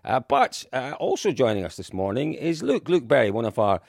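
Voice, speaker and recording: A man's voice: mid-range voice, huge pitch swings, brisk, vocal fry, darkish tone, dry recording. A Scottish man in his thirties hosting a motorsport podcast in a casual, chatty way. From Dundee, Scotland. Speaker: podcast host.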